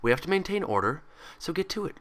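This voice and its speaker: A man plays a female pony head nurse from a storybook, icy and controlled. She gives orders with steely authority and a sharp edge. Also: fairly high pitch, big pitch swings, fast, pitched above the performer's own voice. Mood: stern commanding. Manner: soft but steely, commanding.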